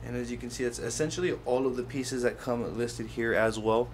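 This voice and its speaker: A man's voice: mid-range voice, fairly even intonation, a little fast, slight background noise. A man hosting a casual hands-on how-to video, explaining things step by step as he handles them. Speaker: host of a how-to product video. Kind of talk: casual hands-on tutorial narration.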